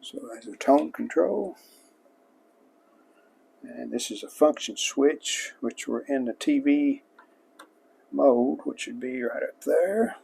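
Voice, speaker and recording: Gravelly man narrating workshop restoration. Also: medium pitch, slow pace, pauses often, dark tone. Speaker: hobbyist restorer and host. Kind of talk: casual hands-on workshop narration.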